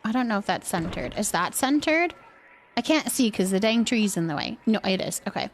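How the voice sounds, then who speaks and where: A woman's voice: mid-range voice, animated, fairly quick, a little hiss or hum. A woman hosting a livestream, chatting casually and thinking aloud as she plays a building game. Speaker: livestream gaming host. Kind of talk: casual chat while playing a building game.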